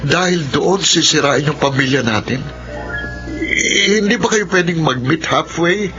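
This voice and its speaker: A man's voice: fairly high pitch, animated, unhurried. A man acting an upset young adult son who presses his parents for answers, urgent and pleading. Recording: dull lo-fi radio broadcast with music.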